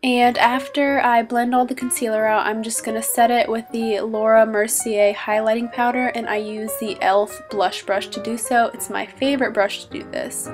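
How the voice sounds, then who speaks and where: A woman's voice: on the high side, level tone, normal speed, hardly any pauses, music in the background. A young woman with a stuffy cold, chatting upbeat through a makeup tutorial in her small bedroom and naming each product as she goes. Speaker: beauty vlogger.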